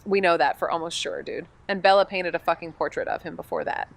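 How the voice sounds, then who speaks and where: A woman's voice: fairly low pitch, fast talker, vocal fry. Blunt, wry young female sleuth. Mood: urgent insistence. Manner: casual, wry, insistent. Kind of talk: scripted audio drama dialogue and narration.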